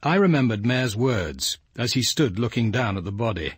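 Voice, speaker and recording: A stern, reserved British detective in a radio mystery. His voice is husky with a widely moving pitch, and his delivery is dry, measured and clipped. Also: expressive, dry recording. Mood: reflective. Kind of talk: radio drama acting and first-person narration.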